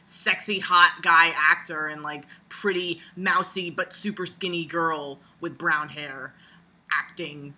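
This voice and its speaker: A woman giving casual, rambling video commentary in an animated, sarcastic way, with crude humor and frequent swearing. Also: low-pitched, slow pace. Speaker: video blogger.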